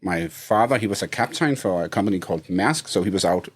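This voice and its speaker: A man in his fifties from Denmark with a Danish accent, a podcast guest talking casually with many pauses and restarts. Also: sits low, animated, slightly rough. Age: 50s. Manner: hesitant, many fillers and restarts, laughs easily. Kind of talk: casual interview chat.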